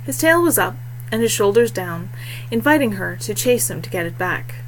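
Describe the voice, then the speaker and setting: A woman's voice: medium pitch, animated, normal speed. Woman reading a story, faint-noise recording. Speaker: author reading own fiction. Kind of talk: expressive audiobook-style story reading. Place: small room indoors. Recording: noisy home webcam recording with hum.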